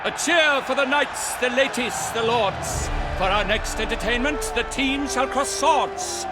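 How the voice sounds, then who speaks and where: An actor playing a pompous tournament herald in a video game, loudly declaiming to rouse a crowd. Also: male voice, very high voice, unhurried. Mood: grand, rousing. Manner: declamatory, loud public announcing. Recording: loud music behind the voice.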